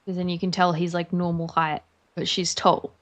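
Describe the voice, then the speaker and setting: A woman's voice: on the low side, little pitch movement, moderate pace, fairly bright tone. A young Australian woman chatting casually while streaming a game, rambling with lots of fillers.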